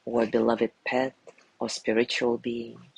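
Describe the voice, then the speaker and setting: A man guiding a sleep meditation in a gentle, soothing way, softly spoken and unhurried, with long pauses between phrases. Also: medium pitch, monotone, creaky, husky, lo-fi sound. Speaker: meditation guide.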